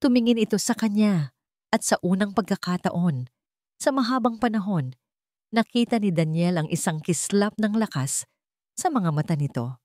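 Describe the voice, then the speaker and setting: A woman's voice: medium pitch, lively intonation, normal speed, pauses often, darkish tone, no echo, studio quality. A woman with a Filipino accent reading a scripted dramatic story as narrator.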